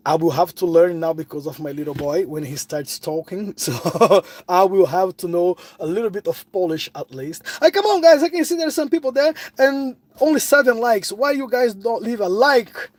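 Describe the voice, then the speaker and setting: A man's voice: high voice, lively intonation, moderate pace, few pauses, a little mellow, dry recording. A middle-aged man from Brazil with a Brazilian Portuguese accent, chatting casually on a phone live stream and answering viewers. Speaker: vlogger.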